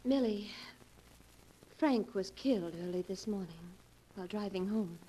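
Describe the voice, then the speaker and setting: A woman's voice: mid-range voice, a little slow, mellow, slight background noise, natural voice, low-quality recording. An actress in an old radio play voicing an earnest young woman who also narrates. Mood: worried. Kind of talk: 1940s radio acting.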